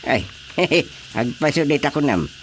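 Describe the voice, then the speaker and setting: Drawling old Ilocano husband, swooping pitch. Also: male voice, lively intonation, a little slow, a little hiss or hum. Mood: comic grumbling. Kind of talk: comic radio drama acting.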